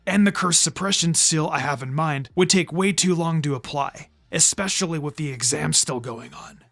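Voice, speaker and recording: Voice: mid-range voice, normal speed, vocal fry, a bit bright, pitched below the performer's own voice. A narrator voicing a troubled, frustrated teenage ninja boy in a brooding, muttering way for a story reading. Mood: frustrated, tense.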